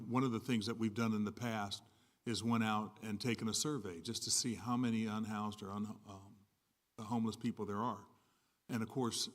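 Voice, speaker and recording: A man with a somewhat low voice serving as a council member. He speaks earnestly and plainly, with folksy phrasing and stumbles where he repeats words. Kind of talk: public remarks at a community meeting.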